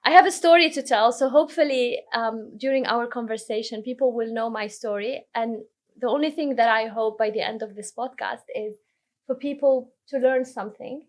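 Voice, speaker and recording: A woman from Lebanon, a podcast guest telling her career story in a relaxed way, often restarting her sentences. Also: on the high side, moderate pace. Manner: relaxed, self-correcting storyteller. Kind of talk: casual interview conversation.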